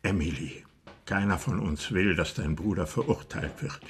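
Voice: sits low, moderate pace, lightly acted. An actor playing a guarded, unyielding police chief in a German radio drama, speaking tersely and formally. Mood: stern, guarded. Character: guarded, curt police chief refusing a teenage girl's pleas.

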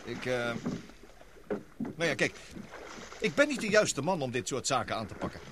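An actor playing a humble, self-doubting man who speaks haltingly in a Dutch radio drama. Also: medium pitch, sing-song, natural voice. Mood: hesitant. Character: hesitant, self-doubting man confiding his unease. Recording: noisy old radio drama recording.

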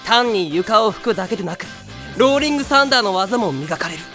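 A man playing a brash, intense, theatrically proud high school boy in an anime audio drama, grandiose and earnest. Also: animated, fast, pushed and bright, faint music behind, full character voice.

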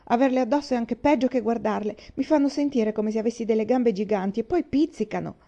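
A woman's voice: on the high side, fast talker, mellow, lo-fi sound, pitched above the performer's own voice. A woman reading aloud voices a stubborn, defiant young girl who insists and snaps back. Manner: insistent, snapping.

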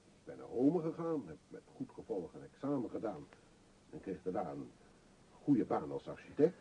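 A man's voice: lowish voice, big pitch swings, moderate pace, gravelly, dark tone, a little hiss or hum. An actor in a radio play voicing a respectable middle-aged male shopkeeper, formal, a little hesitant and easily affronted. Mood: resigned. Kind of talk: radio drama acting. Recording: dull old radio play recording.

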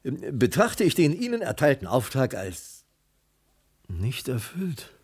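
Animated, husky male detective. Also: medium pitch, slow pace, exaggerated voice. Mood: mock-official. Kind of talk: East German radio crime drama acting, 1980s.

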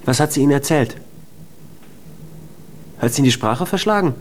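A man's voice: medium pitch, expressive, moderate pace, lightly acted. An actor in a German radio drama playing an agitated jilted lover, mocking and needy as he presses for answers.

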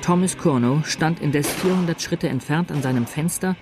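An actor playing an urgent narrator in a German radio drama, tense and declamatory. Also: male voice, fairly high pitch, moderate pace, over music, lightly acted. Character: urgent narrator witnessing a gun battle.

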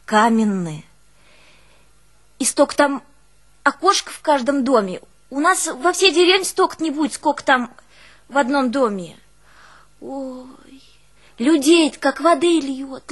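A woman's voice: high-pitched, measured pace, pauses often. An actress playing an artless, emotional peasant woman with a northern Russian rural dialect, telling her life in a theatrical folk monologue. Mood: artless recollection. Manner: folksy, expressive, exclaiming and musing aloud. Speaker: stage actress in a one-woman play.

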